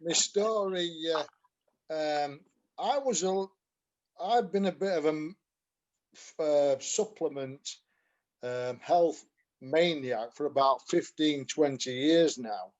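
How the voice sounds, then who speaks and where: A man's voice: a little high, slow, pauses often, highs cut off. A man with a Yorkshire accent, a guest on a video call, telling his story in a chatty, self-deprecating way with frequent laughs. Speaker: guest giving a testimonial. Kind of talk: casual video call chat.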